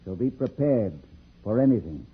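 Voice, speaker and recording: A man playing a steady, serious space squadron figure in an old-time radio serial. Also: lowish voice, moderate pace, husky, faint music behind. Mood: grave warning. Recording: dull vintage radio recording.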